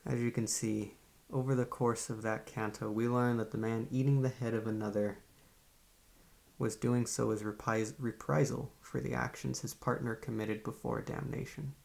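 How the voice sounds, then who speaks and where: A man playing a calm, morbidly literary psychiatrist in an ASMR roleplay, speaking in a measured, solemn and quietly controlling way. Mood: grave, explanatory. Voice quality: low, gravelly. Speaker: therapist. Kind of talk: ASMR roleplay, poetry recitation and monologue.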